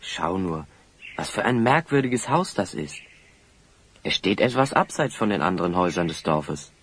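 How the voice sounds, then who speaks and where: An actor playing a sensible, friendly teenage boy hero, reasoning and gently chiding, in a radio play. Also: low-pitched, very animated, moderate pace, a little hiss or hum. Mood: curious.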